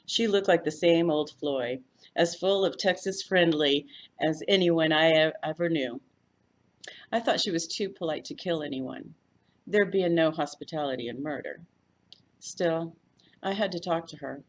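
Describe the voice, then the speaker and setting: A woman's voice: sits low, a little slow. A woman reading a first-person mystery story aloud as a volunteer narrator. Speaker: volunteer audiobook reader.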